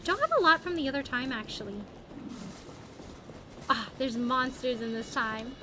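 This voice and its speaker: A woman's voice: high-pitched, lively intonation, normal speed, background noise. A woman live-streaming a game, chatting casually to viewers and thinking aloud in a playful, exclamatory way. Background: music behind the voice.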